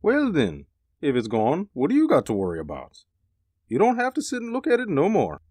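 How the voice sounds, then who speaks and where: A performer voicing a blunt, skeptical male police officer who talks in gruff slang in a radio drama. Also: mid-range voice, huge pitch swings, fairly quick, a little mellow, no echo. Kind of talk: hobby radio drama acting.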